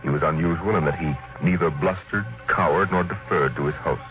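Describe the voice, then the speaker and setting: An actor playing a solemn, theatrical storyteller, narrating in measured old-time radio style. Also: male voice, sits low, close to an everyday voice. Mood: dramatic. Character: dramatic, solemn male storyteller of science fiction. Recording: dull vintage radio recording. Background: loud dramatic music.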